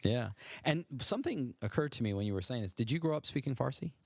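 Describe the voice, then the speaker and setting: A man's voice: mid-range voice, lively intonation, fast, creaky. A man from Maryland hosting a podcast, musing in a conversational way with hesitant restarts. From Maryland, USA. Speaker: podcast host.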